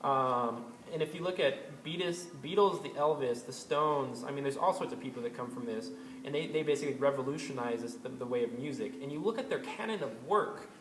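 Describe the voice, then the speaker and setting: Male host, casual rambling delivery, mid pitch, roomy echo, faint background hiss. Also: brisk, a bit bright. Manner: rambling, many ums and restarts. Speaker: astrology video host. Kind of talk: casual spoken commentary. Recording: echoey room with faint ambient noise.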